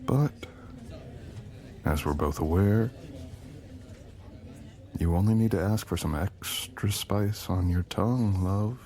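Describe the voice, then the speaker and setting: A man's voice: low voice, slow, long pauses, warm tone. A man playing a powerful, dangerous shadow being, a smug and possessive boyfriend, in an intimate ASMR audio roleplay with dramatic, teasing delivery.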